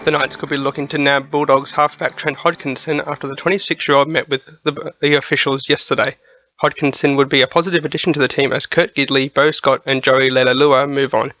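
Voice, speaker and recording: A man's voice: mid-range voice, little pitch movement, fairly quick, sharp tone, dry recording, highs cut off. A man with an Australian accent reading a scripted radio sports bulletin.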